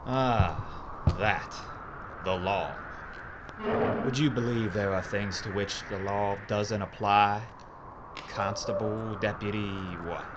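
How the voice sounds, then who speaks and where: An actor playing a wry Old West gunfighter in an audio drama, with a sardonic, deliberate manner. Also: male voice, lowish voice, fairly even intonation, muffled recording. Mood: dry, threatening. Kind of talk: Western audio drama acting. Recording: heavy background noise.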